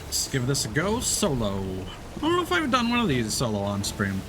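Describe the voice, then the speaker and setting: A man's voice: mid-range voice, wildly swooping pitch, a little fast, noisy background. A man streaming a game, chatting casually and explaining as he plays, with lots of fillers and restarts. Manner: rambling, many fillers and restarts. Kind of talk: casual gameplay commentary.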